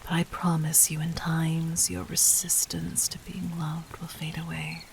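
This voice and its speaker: A woman playing a gentle but commanding, seductive demon queen in an intimate audio roleplay, speaking softly and formally in a breathy near-whisper. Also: sits low, level tone, unhurried, noisy background. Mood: tender, reassuring.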